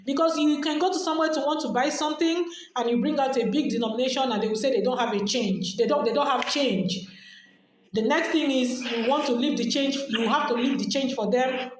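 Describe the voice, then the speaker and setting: A woman's voice: high-pitched, slight background noise. A woman with a Nigerian accent hosting a podcast, giving casual advice in a chatty way and repeating phrases as she explains. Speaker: podcast host. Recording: very echoey room.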